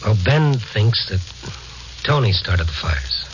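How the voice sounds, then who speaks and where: Brooding radio noir reporter, muffled sound. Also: male voice, fairly low pitch, very animated, slow, slight background noise. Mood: careful, probing. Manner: brooding narration, hesitant soothing dialogue. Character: inquisitive, kindly male night-beat reporter narrating his case. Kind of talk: 1950s radio drama acting and first-person noir narration. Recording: muffled 1950s radio recording.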